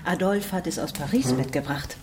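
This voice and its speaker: An actress playing a bossy, sharp-tongued, socially ambitious lady in a radio drama. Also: low voice, moderate pace, natural voice. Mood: ingratiating. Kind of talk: German radio drama acting. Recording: clear background noise.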